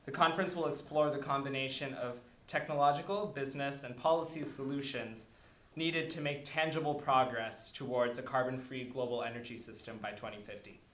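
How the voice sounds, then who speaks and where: A man's voice: medium pitch, steady tone, brisk, a bit bright. A young man welcomes the audience to an event in a lecture hall, his voice ringing around the room. He talks in a mid-range voice, filling gaps with ums and uhs as he runs through the schedule. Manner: filler-heavy, listing events. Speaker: student club organizer. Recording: roomy hall sound.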